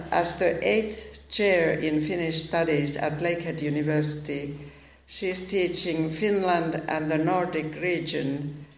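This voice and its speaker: A man's voice: fairly high pitch, gravelly. A man giving a formal, scripted introduction as the host of a talk. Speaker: host introducing a guest speaker. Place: echoey indoor room. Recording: echoey room.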